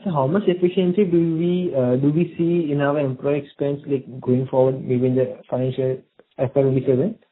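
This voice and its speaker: A man's voice: medium pitch, fairly even intonation, fairly quick, a little hiss or hum. A man, an analyst caller, asks questions politely and hesitantly on a conference call, often repeating words. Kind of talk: spontaneous question on earnings call. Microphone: telephone. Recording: narrow phone line.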